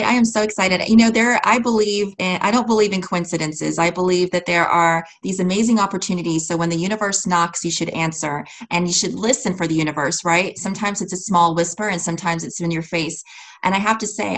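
A woman's voice: lowish voice, fairly bright tone, poor audio. A woman hosting a podcast, speaking in an effusive, encouraging way in a conversational interview style. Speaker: podcast host.

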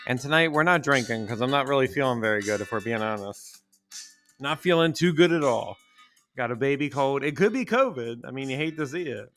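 A man in his thirties talks through a loose solo podcast. His voice sounds a little stuffy from a cold. He rambles with crude jokes, and his pitch sits in an ordinary middle range. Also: animated, brisk, fairly bright tone, soft background music. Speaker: podcast host. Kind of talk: casual solo podcast monologue.

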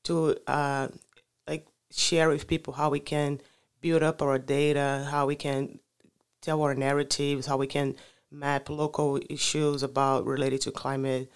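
A man speaks in a smooth, resonant voice. He answers questions slowly and haltingly, filling gaps with "uh" and "like" as he hunts for the right words. His pitch sits a little high and barely moves. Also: completely flat delivery. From Brazil. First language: Portuguese. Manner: halting, searching for words with many fillers. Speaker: guest. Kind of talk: casual interview answers.

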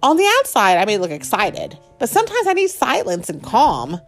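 Woman voicing an excitable, emphatic exclamation mark guy. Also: mid-range voice, moderate pace, faint music behind. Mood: weary. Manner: emphatic, dramatic. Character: excitable exclamation mark, loud guy who craves calm.

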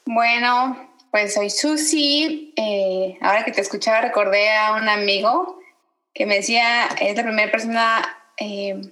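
A woman from Guatemala, introducing herself on a podcast in a reflective way, pausing often. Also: medium pitch, fairly even intonation, bright, forceful tone, reverberant, rough recording. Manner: reflective, many pauses and fillers. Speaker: podcast guest. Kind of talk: casual self-introduction.

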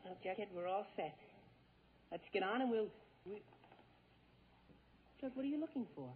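An eager, impatient teenage boy with a high, very animated voice. He exclaims and sighs in exasperation in 1940s radio comedy style. The recording is a muffled vintage broadcast. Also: moderate pace. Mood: exasperated. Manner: exclamatory, exasperated with his friend.

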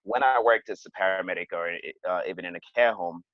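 Deep-voiced man, dry lo-fi sound. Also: quick pace, no room sound. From Cameroon. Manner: hesitant, many fillers and restarts. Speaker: podcast guest. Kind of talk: conversational interview storytelling. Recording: dull, lo-fi sound.

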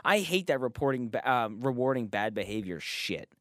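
A man's voice: mid-range voice, lively intonation, moderate pace, a bit bright, no echo, studio quality. A man hosting a casual podcast, joking loosely and swearing often. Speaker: podcast host. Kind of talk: casual chat.

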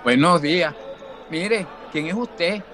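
A man's voice: a little high, slow pace, bright, forceful tone, some chatter behind. A man playing a weary, formal bar owner just freed from prison, in a radio drama. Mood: wary, puzzled.

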